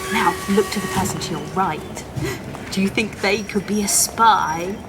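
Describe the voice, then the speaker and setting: A woman's voice: mid-range voice, a little slow, heavy background noise, lightly acted. A woman playing an eager, bossy helper in a children's audio play, giving listeners chirpy step-by-step instructions. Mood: conspiratorial. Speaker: co-presenter of a participatory audio play.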